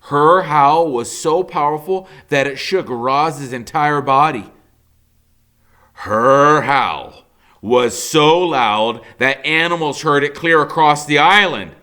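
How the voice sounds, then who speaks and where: A man reading a children's novel aloud in a theatrical, emphatic storytelling style, with dramatic pauses. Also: a little high, pushed and bright.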